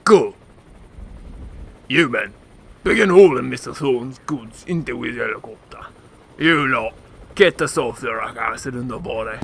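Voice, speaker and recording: Gravelly, menacing gangland enforcer played by a man. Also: mid-range voice, lively intonation, unhurried, pauses often, fairly bright tone, a little hiss or hum. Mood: menacing, commanding. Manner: threatening, taunting. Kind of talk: radio drama acting.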